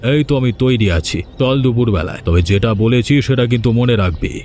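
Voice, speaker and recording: A man's voice: mid-range voice, moderate pace, darkish tone, soft background music, lightly acted. A man playing a scheming, domineering older village landlord in a cartoon, with a commanding, condescending manner. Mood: calm, commanding. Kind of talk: Bengali cartoon voice acting.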